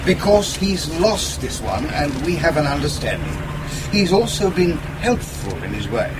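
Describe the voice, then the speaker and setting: An actor playing a suave, ruthless British intelligence chief in a radio drama, urbane and dryly ironic. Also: male voice, fairly high pitch, very animated, moderate pace, very noisy. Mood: dry, brisk.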